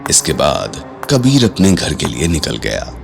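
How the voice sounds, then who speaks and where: A man's voice: very deep voice, wildly swooping pitch, measured pace, gravelly, music in the background. A man narrating a scripted audio drama in a dramatic storytelling style.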